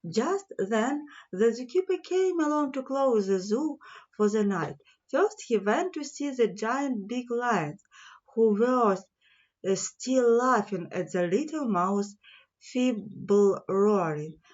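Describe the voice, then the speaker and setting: Female storyteller for children's fairy tales, slow delivery, emphatic key words, slightly muffled lo-fi sound. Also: fairly high pitch, expressive, a little mellow. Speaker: children's audiobook reader. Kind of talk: fairy-tale narration for children.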